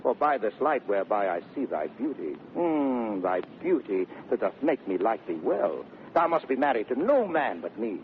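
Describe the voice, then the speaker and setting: An actor playing a brash, teasing gentleman suitor in 1930s radio Shakespeare, declaiming with mock sweetness. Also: mid-range voice, sing-song, normal speed, few pauses, lightly acted. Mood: exuberant, insistent. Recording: tinny, noisy 1930s radio recording.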